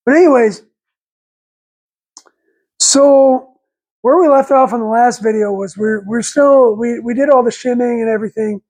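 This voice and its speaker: A raspy man in his forties with a head cold, rambling folksy and correcting himself while talking through engine work in a garage on a lapel mic. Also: high-pitched, a little mellow. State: congested with a cold. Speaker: channel host. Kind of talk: casual workshop vlog. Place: home garage workshop, indoors.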